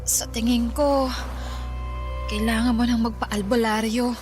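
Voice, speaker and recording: A concerned female friend, played by a woman with a high voice. She advises carefully and hesitantly in radio drama style, over soft music. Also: fairly even intonation, unhurried, natural voice. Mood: concerned, grave. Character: concerned female friend giving superstitious advice.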